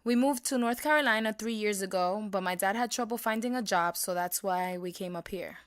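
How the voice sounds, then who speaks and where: A young woman acting a blunt, slangy Puerto Rican high school girl in a naturalistic audio drama. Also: a little fast, no room sound, studio quality, lightly acted. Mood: curious, casual.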